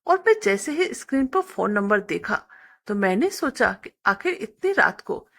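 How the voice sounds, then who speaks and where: Woman narrating with lively, swinging pitch. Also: normal speed, gravelly. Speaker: story narrator. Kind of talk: scripted first-person story narration with acted dialogue.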